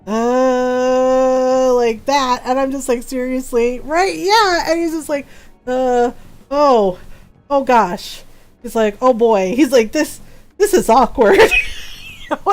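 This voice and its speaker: A woman's voice: high-pitched, a little mellow. A woman in her forties chatting casually with her stream viewers and laughing often as she tells a story. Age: 40s.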